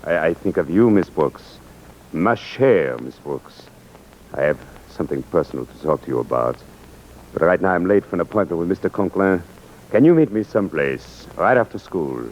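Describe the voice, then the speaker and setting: A man's voice: low-pitched, animated, moderate pace, darkish tone. An actor playing a courteous, earnest, easily flustered Frenchman with a French accent in old-time radio comedy, speaking politely and hesitantly. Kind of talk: 1940s-50s radio comedy acting. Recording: dull, noisy old radio recording.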